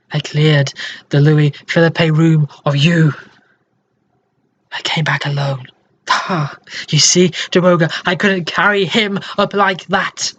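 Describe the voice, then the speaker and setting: A man playing a dying, lovesick, tormented man in a dramatic monologue, halting and breathy, often sinking toward a whisper. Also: on the high side, a bit bright, exaggerated voice. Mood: grim, confiding. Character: dying, lovesick tormented man confessing his tender, morbid obsession. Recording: dull, slightly noisy home recording.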